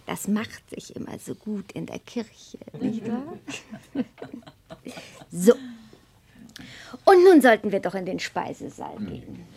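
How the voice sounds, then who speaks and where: A woman playing a witty, gossipy high-society hostess in a radio play, with breezy, theatrical aristocratic chatter. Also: wildly swooping pitch, slow, pauses often, a little mellow, heavily acted. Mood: airy, amused.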